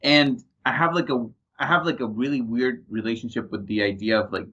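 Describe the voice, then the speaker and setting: A man co-hosting a casual podcast, talking in an animated way, swearing freely and acting out his examples. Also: medium pitch, fairly quick. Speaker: podcast co-host. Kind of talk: casual chat. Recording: dull lo-fi sound.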